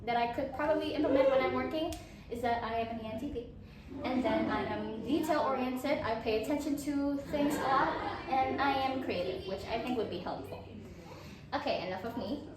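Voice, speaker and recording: A woman's voice: high-pitched, a little fast, noisy background. A teenage girl from Indonesia presenting her portfolio as a student, in a chatty, upbeat way with fillers and restarts. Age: teens. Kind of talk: casual self-presentation. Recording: very echoey room, from far off, crowd behind.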